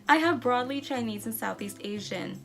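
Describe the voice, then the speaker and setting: Excitable young woman vlogger, faint music. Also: fairly high pitch, moderate pace, creaky. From United States. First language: Spanish. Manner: excitable, reads results aloud with surprised asides. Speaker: travel vlogger. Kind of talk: casual vlog reaction.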